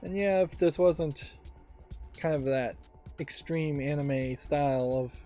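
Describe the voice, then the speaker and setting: A man giving musing game commentary, thinking aloud in a halting way. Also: fairly high pitch, mellow. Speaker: let's play host. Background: faint game music.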